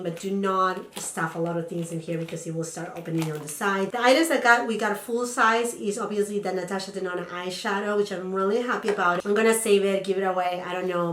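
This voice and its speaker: Young woman beauty vlogger, slightly raspy from a cold, chatty and enthusiastic, echoey home room. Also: mid-range voice, a bit bright, slight background noise. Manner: chatty, enthusiastic, rambling with fillers. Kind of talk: casual unboxing chat.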